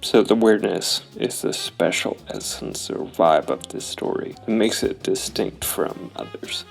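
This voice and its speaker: A man narrating his solo tabletop role-playing game, thinking aloud in a deliberate, analytical way. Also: deep voice, vocal fry, faint music behind.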